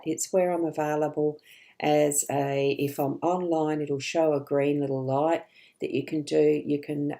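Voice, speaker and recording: Low gravelly woman chatting on a live stream. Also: mellow. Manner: chatty, greets viewers by name, frequent ums and restarts. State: rough voice this morning. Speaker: psychic card reader hosting a live stream. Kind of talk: casual live-stream chat.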